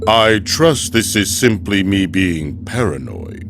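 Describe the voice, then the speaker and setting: An actor playing a stern, protective male mercenary in a video game, speaking formally and deliberately with dry menace. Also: sits low, sing-song, gravelly, background noise, heavily acted. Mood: stern, suspicious. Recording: music behind the voice.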